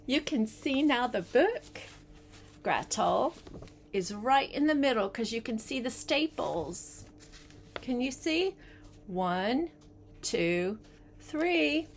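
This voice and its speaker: An older woman, a grandmother, reading a storybook aloud in an expressive way and chatting directly to a child as she goes. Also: on the high side, slow, long pauses, slight background noise. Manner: animated, addresses the young listener with questions.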